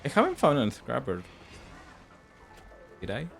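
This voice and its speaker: A man's voice: medium pitch, huge pitch swings, measured pace, vocal fry. A man with a Spanish accent whose first language is Spanish, chatting casually while streaming a game, laughing often and repeating words as he stumbles. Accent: Spanish-speaking. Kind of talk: casual gaming livestream chat.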